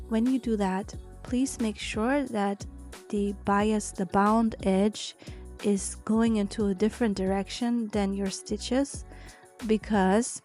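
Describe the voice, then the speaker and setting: A woman's voice: mid-range voice, a little mellow. A woman with a German accent, a native German speaker, explains craft steps casually and unhurriedly as a tutorial host, correcting herself as she goes. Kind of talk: step-by-step craft instruction, casual voice-over. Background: background music.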